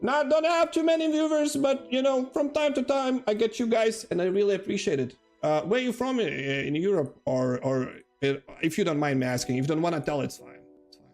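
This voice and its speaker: A man's voice: sits high, fairly quick, a little mellow, soft background music. A man from Lithuania with a Lithuanian accent, chatting loosely with his viewers as he plays, rambling and poking fun at himself. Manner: rambling, chatty, self-mocking, talks to chat. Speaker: streamer. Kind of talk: casual live-stream gaming commentary.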